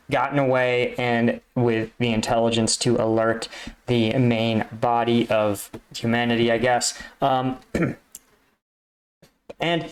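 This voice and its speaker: Man explaining military tactics casually. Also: medium pitch. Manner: explanatory, often checks in with "right?". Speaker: host, army combat veteran reacting.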